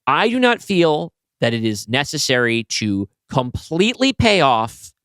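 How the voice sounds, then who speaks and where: A man talks as a podcast co-host with lively, swinging intonation and an emphatic delivery. His pitch sits in the middle for a man, and the recording is dry and close, with an easy conversational feel. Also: measured pace, smooth, dry recording. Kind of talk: casual podcast chat.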